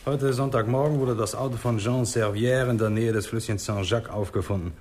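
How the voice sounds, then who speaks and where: An actor playing a nervous, fearful small-town doctor, agitated and overwrought, in a 1960s German radio crime drama. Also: male voice, mid-range voice, fairly even intonation, fairly quick, darkish tone, a little hiss or hum, close to an everyday voice. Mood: tense, alarmed.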